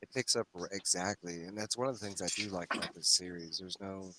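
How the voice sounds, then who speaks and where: A man's voice: deep voice, fast, heavy background noise. A man hosting a casual group livestream discussion, talking in a folksy, informal way to his co-hosts and viewers. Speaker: host of a comics read-along livestream. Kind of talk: casual discussion and plot recap.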